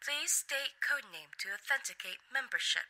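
A woman's voice: sits high, animated, normal speed, bright, forceful tone, no echo, full character voice, rough recording. A woman voicing an impassive computer system in a cartoon, formal and procedural.

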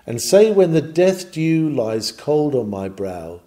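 A man's voice: on the high side, big pitch swings, slow, mellow. Man reading a sermon with sweeping intonation. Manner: oratorical, emphatic, declamatory. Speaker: narrator reading a historical sermon. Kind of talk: dramatic sermon reading, scripted.

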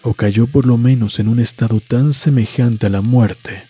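A man narrating a scripted horror story in a solemn, deliberate, dramatic way, letting phrases trail off softly. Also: medium pitch, slightly rough. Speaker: host and narrator of a story series. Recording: music behind the voice. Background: music.

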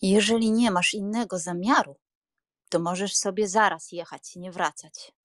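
A woman's voice: mid-range voice, steady tone, moderate pace, no echo, natural voice. Actress as imperious elderly grandmother, grumbling, proud, audiobook drama, very dry close sound. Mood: stern. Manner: scolding, imperious. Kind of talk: acted audiobook dialogue.

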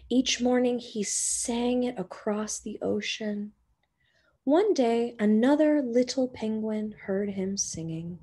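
A woman teacher reading a children's picture book aloud in a gentle storytelling manner, sometimes dropping to a whisper, recorded on a webcam in a small indoor room. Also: a little high. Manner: slow, gentle storytelling with soft whispered passages. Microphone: webcam on a video call.

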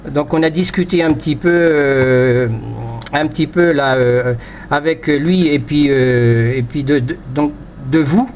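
A man's voice: on the high side, measured pace. A man from France chatting casually as an amateur radio operator, repeating himself and punctuating phrases with small filler words. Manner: repetitive, punctuates phrases with "là" and "voilà". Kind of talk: casual radio contact chat. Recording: dull lo-fi sound, faint noise.

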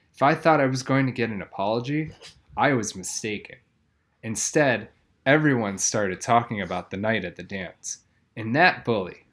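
A man's voice: fairly low pitch, a bit bright. A man reading a children's novel aloud, telling the story expressively with plenty of emphasis. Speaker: audiobook reader.

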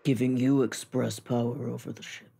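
A man's voice: mid-range voice, flat delivery, normal speed, gravelly, warm tone, husky. A man roleplaying in character in a tabletop game podcast, blunt and probing in the way he talks. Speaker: player in an actual-play podcast.

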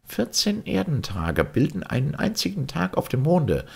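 Give a patient, contented Martian voyager from an old science-fiction audiobook, acted out by a male reader. He speaks in a voice lifted well up, with lively swings and some huskiness, instructive and measured. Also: on the high side, creaky, husky, voice pushed up, full character voice. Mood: patiently explaining. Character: Sirian, content, patient male Martian space traveller explaining. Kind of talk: audiobook character dialogue.

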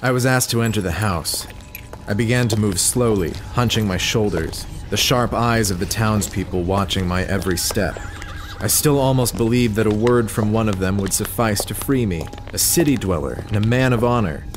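An actor playing an earnest, uneasy man who tells his ordeal in measured, literary audio drama narration. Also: fairly low pitch, background noise, natural voice. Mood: grave, uneasy. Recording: music behind the voice.

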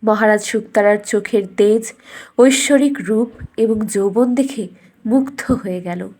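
A woman's voice: mid-range voice, unhurried, darkish tone. A woman storyteller reading a scripted fairytale narration for a cartoon bedtime story.

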